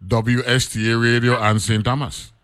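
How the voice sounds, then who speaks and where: A man's voice: medium pitch, normal speed, no room sound, studio quality. A man, hosting a casual radio chat and tripping over repeated words as he reminisces. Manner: loose, repeats words, stammers when excited.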